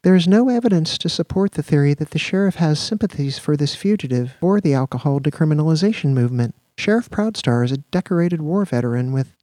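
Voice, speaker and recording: A man voicing a calm, bland office computer that answers in a mechanical monotone. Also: a little high, fast, few pauses, dry recording, pitched above the performer's own voice, close to an everyday voice. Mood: flat. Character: bland, flat-toned male office computer.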